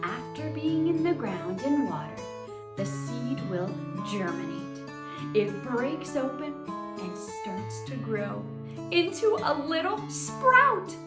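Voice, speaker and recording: A woman's voice: fairly high pitch, big pitch swings. A woman hosting a children's lesson and read-aloud, speaking in an exaggerated child-directed way and putting questions to her listeners. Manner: exaggerated child-directed delivery, asking questions and pausing for answers. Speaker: children's teacher and host. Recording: roomy echo with plucked guitar music. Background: plucked guitar music.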